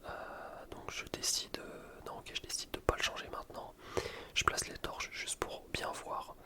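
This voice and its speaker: A man commentating on gameplay in a breathy, unvoiced ASMR whisper, informal and explanatory. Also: unhurried. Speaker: gaming video creator. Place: voice-over recorded separately from gameplay.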